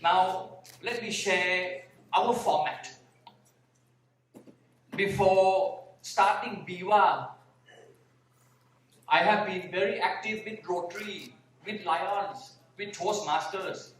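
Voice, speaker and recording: A man with a Thai accent giving a slow, deliberate talk on a conference panel, sometimes repeating his words. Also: sits high, fairly bright tone, slight background noise. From Thailand (Bangkok). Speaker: panel speaker, vegan activist. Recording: echoey hall, mic heard from far.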